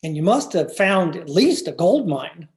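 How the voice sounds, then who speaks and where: A woman playing a haughty, disapproving, stuffy married gentleman in an old-time radio drama. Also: lowish voice, sing-song, unhurried. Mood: surprised, stiff.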